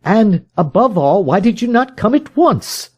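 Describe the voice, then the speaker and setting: A man's voice: high-pitched, sing-song, a little slow, slightly rough, voice pushed up, heavily acted. A man voicing an impatient, decisive male detective in an audiobook, with emphatic, formal Victorian diction. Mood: impassioned, exasperated. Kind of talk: audiobook character voice in a detective story.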